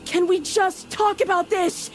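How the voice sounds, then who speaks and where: A woman's voice: extremely high pitch, level tone, a little slow, soft background music. A woman voicing a small, earnest, worried hermit crab hero who pleads in a video game cutscene. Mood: pleading.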